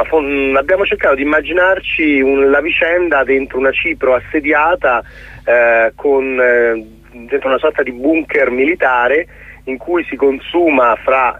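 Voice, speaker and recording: A middle-aged man from the Middle East, a theatre director being interviewed, explaining his work haltingly and searching for words. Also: mid-range voice, no echo. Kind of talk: radio interview, explaining a production. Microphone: telephone call. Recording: thin, phone-like line.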